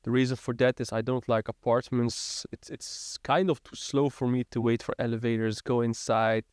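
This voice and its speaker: A man's voice: mid-range voice, little pitch movement, moderate pace, a little mellow, clean tone, no room sound, clean studio sound. A young man, a podcast guest, talking earnestly in casual conversation and repeating his phrases. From Netherlands.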